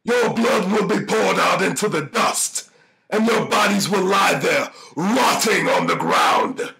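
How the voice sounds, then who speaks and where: An actor voicing an ancient, vengeful monster villain in an animated trailer, declaiming grandiosely with menace in a gravelly voice. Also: male voice, high voice, slow pace, full character voice. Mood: wrathful, preaching. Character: ancient vengeful male monster villain, theatrical, wrathful and gloating. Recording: echoing voice over music.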